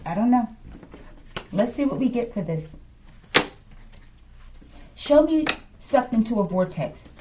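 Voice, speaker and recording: A woman's voice: on the high side, very animated, slow pace, long pauses, far from the mic, noisy background. An American woman giving a casual, improvised tarot reading to viewers in a musing way, often checking in with "okay". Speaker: tarot reader.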